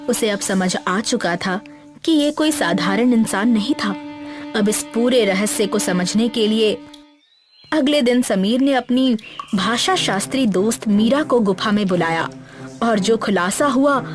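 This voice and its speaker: A woman's voice: a little high, expressive, unhurried. A woman reading a scripted, dramatic story as its narrator. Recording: music bed behind the voice.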